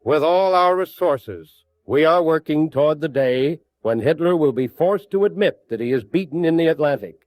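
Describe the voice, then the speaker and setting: An actor playing a firm, confident Navy Secretary delivering a formal wartime address. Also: male voice, a little high, lively intonation, moderate pace, hardly any pauses, a little mellow, dry recording, lightly acted. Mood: resolute. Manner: firm, declarative.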